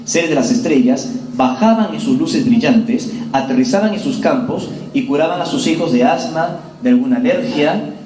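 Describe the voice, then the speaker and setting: A man's voice: a little high, lively intonation, dark tone, distant mic. Peruvian-born man lecturing in a roomy hall. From Peru. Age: 40s. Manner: earnest, measured storytelling to an audience. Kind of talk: public lecture. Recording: roomy hall with faint ambience.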